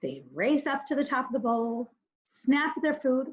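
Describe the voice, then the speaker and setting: Slow, high-pitched, lilting woman teaching young children. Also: big pitch swings, lo-fi sound. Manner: simple, instructive, addresses children directly with questions and pauses to think aloud. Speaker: kindergarten teacher. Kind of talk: recorded reading mini-lesson for young children.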